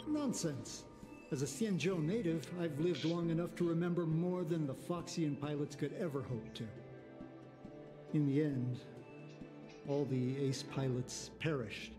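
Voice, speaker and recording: A man voicing a discreet, long-lived officer in a video game, speaking in a measured, formal and solemn way. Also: on the high side, music in the background, close to an everyday voice. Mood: somber.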